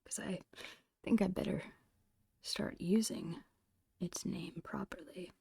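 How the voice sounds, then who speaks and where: Asmr lady whispering real slow, crackly breathy voice, kinda chatting thru her art. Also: fairly low pitch, fairly even intonation, warm tone, no room sound, studio quality. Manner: unhurried, thinking aloud in short phrases. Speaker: digital artist narrating own painting process. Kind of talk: ASMR, whispered casual tutorial chat.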